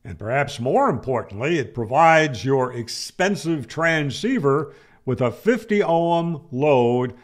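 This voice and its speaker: Older male explainer, unhurried and emphatic, animated intonation, recorded close on a studio mic at home. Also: medium pitch. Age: older, 60s or 70s. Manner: emphatic, rhetorical questions and firm answers. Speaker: ham radio explainer, video host. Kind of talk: explanatory lecture to camera. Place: small home room, indoors. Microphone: close studio mic on boom arm.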